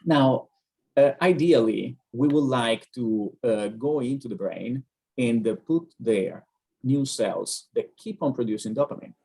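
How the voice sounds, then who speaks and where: Man, Italian accent, gravelly low voice, dark tone, hesitant and explanatory, video call. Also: unhurried. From Italy. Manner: hesitant, many uh fillers, explanatory. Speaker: neurologist. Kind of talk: medical webinar explanation.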